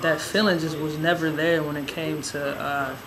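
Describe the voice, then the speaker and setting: A young woman with a raspy, hoarse voice telling a personal story in a relaxed, rambling way with many fillers. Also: low-pitched, moderate pace, crowd noise, poor audio. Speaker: vlogger. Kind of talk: casual personal storytelling to camera.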